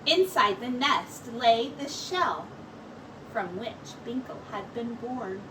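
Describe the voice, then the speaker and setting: A woman reading a children's story aloud as a teacher, with expressive narration. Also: on the high side, takes it slowly, far from the mic, background noise.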